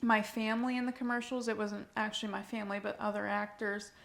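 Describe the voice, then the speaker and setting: A woman's voice: medium pitch, flat delivery, fast, gravelly, fairly bright tone. A woman in her twenties, a model answering interview questions in a casual, giggly way, full of "like" and "um.". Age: 20s. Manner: giggly, uses "like" and "um" a lot. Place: indoors at a rented home.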